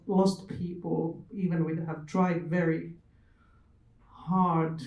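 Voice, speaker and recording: A man's voice: a little high, warm tone. A man who speaks English as a second language, reflecting earnestly as a panel speaker. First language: not English. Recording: echoey room, distant mic.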